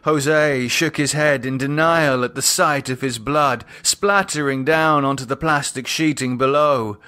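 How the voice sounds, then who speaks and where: Slow, measured male horror narrator. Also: mid-range voice, fairly even intonation, bright, forceful tone, clean tone. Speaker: audiobook narrator. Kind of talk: scripted horror novel narration.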